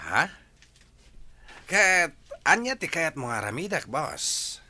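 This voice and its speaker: A man with an Ilocano Filipino accent playing a greedy, eager, fawning henchman with a raspy voice in a radio drama. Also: a little high, big pitch swings, slow pace, sharp tone, no room sound, exaggerated voice. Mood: curious, sly.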